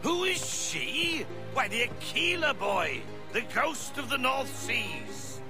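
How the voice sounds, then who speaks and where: A crusty old sailor, with a creaky, high-pitched voice that leaps wildly up and down. He is cantankerous and theatrical, talking in salty nautical terms like a game character, with music playing behind him. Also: sing-song, takes it slowly, full character voice. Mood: indignant. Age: older. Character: cantankerous, superstitious old sailor who adores his ship. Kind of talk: video game cutscene acting.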